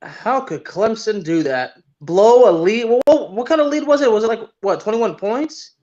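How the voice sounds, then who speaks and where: A man's voice: high-pitched, expressive, normal speed, warm tone, lo-fi sound. A man around thirty co-hosting a sports podcast, chatting casually and bluntly, repeating himself for emphasis. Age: late 20s to early 30s.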